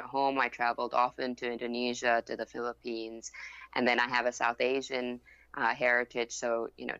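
A young woman who grew up in Thailand, with Thai as her first language, speaking as a podcast guest in a measured way, filling her answers with "you know" and "um". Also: very deep voice, a bit bright, dry recording. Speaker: guest, fund founder. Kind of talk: podcast interview. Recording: dull lo-fi remote audio.

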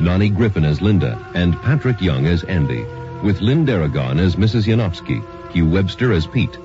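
A man's voice: low-pitched, warm tone. A man reading scripted closing credits as a radio announcer. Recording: muffled old radio broadcast over music.

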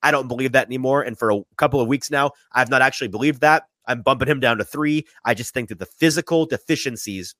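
A man hosting a sports talk podcast, sniffly from a sinus infection, animated and often repeating his first words as he starts a thought. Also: mid-range voice, fast, hardly any pauses, no echo. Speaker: podcast host.

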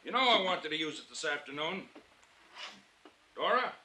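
An irritated ranch man in a fifties radio play. He calls out in a high, piercing voice, far from the mic in an echoing space. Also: very animated, moderate pace, bright, forceful tone, heavily acted. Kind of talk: 1950s radio drama acting. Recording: distant, echoing, noisy old radio recording.

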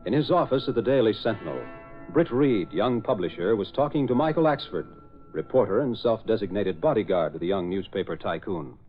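A man's voice: lowish voice, lively intonation, fairly quick, faint music behind, lightly acted. A man playing the solemn narrator of a 1940s radio drama, giving scripted narration. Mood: dramatic narration. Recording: muffled old radio recording.

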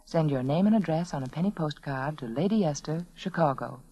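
A woman delivers an old-time radio advertisement in a low voice that rises and falls dramatically. She is confiding and persuasive, stressing key words, on a dull, lo-fi vintage recording. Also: lively intonation, fairly quick, dark tone, husky. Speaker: radio commercial pitchwoman. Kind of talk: 1940s radio advertising pitch, scripted.

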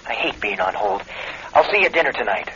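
An actor playing a henpecked, resentful husband in a radio drama, talking with dry, sarcastic wit. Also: male voice, mid-range voice, very animated, fast talker, fairly bright tone. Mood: wry. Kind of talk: vintage-style radio drama acting. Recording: dull, noisy radio drama recording.

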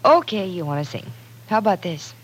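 An actress playing a lively, teasing young sister with bantering delivery in a 1940s radio drama. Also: female voice, fairly low pitch, fairly quick, slightly rough. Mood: playful teasing. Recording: old radio recording with piano music.

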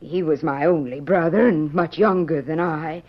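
A woman's voice: low voice, normal speed. A middle-aged woman playing a kindly, fussing frontier mother in an old radio drama. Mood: wistful. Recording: muffled old radio recording.

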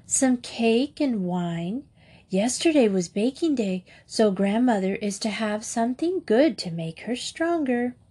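A woman's voice: fairly high pitch, animated, a little slow, warm tone, husky. Woman acting little girl, storybook, innocent, dutiful, earnest, sweet. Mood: trusting. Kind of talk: storybook character voice.

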